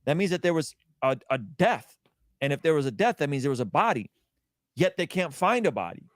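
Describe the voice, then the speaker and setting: A man's voice: fairly high pitch, big pitch swings, fairly quick, dry recording, clean studio sound. A man speaking as a religious apologist in impassioned conversational preaching, repeating phrases for weight.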